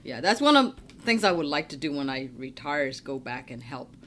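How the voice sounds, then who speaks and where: A woman with a low, deep voice and a slight Chinese accent talks in a relaxed, chatty way. She is middle-aged and laughs often as she talks. Faint background noise sits under her voice. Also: a little fast. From Hong Kong, raised in Trinidad and Tobago. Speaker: stormwater manager, guest. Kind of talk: casual interview chat.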